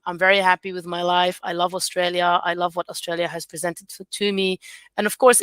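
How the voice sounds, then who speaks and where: A woman's voice: fairly low pitch, fairly bright tone, smooth, dry recording. A woman in her forties, not a native English speaker, gives a chatty, encouraging webinar talk as an academic coordinator. She speaks over a video call and uses many fillers. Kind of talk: informal webinar presentation.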